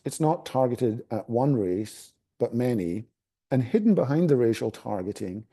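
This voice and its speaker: A middle-aged Scottish man talks through a panel answer in a gravelly, very dark voice. He pauses constantly, filling gaps with ums and restarting phrases as he thinks. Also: mid-range voice. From Scotland. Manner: halting, many fillers and restarts. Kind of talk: academic panel talk.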